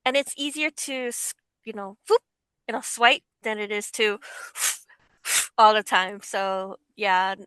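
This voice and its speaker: A woman's voice: mid-range voice, measured pace, a bit bright, clear, resonant tone, no room sound. A woman in a casual group discussion, explaining things in an eager, agreeable way. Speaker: panelist, voice actor.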